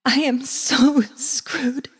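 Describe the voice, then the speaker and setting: A woman's voice: high voice, steady tone, extremely slow, in a large hall, voice pushed up. A woman voicing a terrified young college girl, whimpering and panicky, in a fantasy audiobook. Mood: dread. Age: young adult. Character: terrified nineteen-year-old college girl on the run. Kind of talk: fantasy audiobook character acting.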